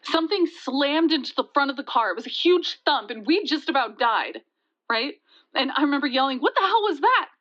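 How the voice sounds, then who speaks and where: A woman playing a shaken young woman who recounts a frightening night in an audio drama, halting and conversational, with hesitations and restarts. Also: high voice, lively intonation, fairly bright tone. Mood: uneasy recounting, then frantic. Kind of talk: first-person dramatic storytelling in an audio drama. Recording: dull, lo-fi sound.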